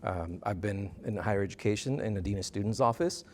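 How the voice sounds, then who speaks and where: A man's voice: lowish voice, brisk, darkish tone, a little hiss or hum. A middle-aged man from South Texas answering interview questions in a TV studio, thoughtful and full of "uh" and "um" fillers. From South Texas border region. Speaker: interview guest, dean of students. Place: TV news studio set. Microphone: lapel mic.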